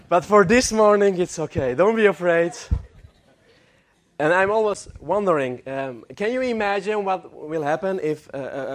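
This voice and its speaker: A young man with an Armenian accent, preaching a sermon in halting English, with many fillers and restarts and some joking with the congregation. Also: fairly high pitch. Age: young adult. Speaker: guest preacher.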